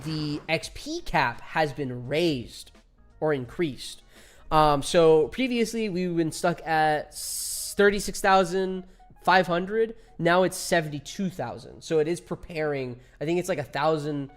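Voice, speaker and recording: A man's voice: on the high side, measured pace, soft background music. A man in his twenties chatting casually with viewers while streaming a game, laughing often and reading chat aloud. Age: 20s.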